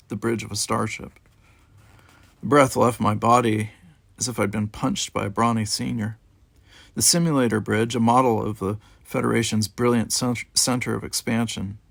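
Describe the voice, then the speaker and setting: A man reading a first-person novel aloud as an audiobook, with expressive storytelling delivery. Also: on the low side, creaky, no echo. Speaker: amateur audiobook reader. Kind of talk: expressive scripted first-person fiction narration.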